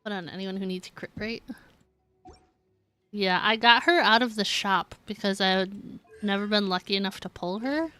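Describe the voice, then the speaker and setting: A woman's voice: medium pitch, moderate pace, sharp tone, clean tone, faint music behind. A woman chatting casually on a game live stream, rambling and giggly as she talks to viewers.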